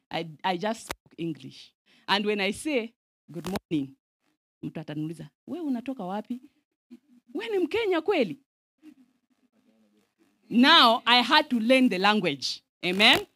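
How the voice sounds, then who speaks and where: A woman from Zambia in her thirties giving an animated teaching at a camp meeting, mixing Swahili phrases into her English and calling for audience responses, speaking into a handheld microphone in a large outdoor tent. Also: fairly high pitch. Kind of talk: lively sermon-style teaching.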